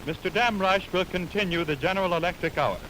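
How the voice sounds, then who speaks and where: A man's voice: high voice, fast, fairly bright tone. A man working as a radio announcer, making formal, declamatory announcements in 1920s style. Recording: slightly noisy 1929 optical-film radio aircheck.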